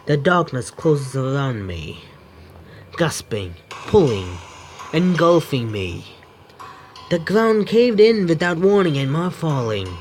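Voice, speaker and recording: A man reading game text aloud as a streamer, halting and stumbling, with casual asides. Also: on the high side, sing-song, a little hiss or hum. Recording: music under the voice.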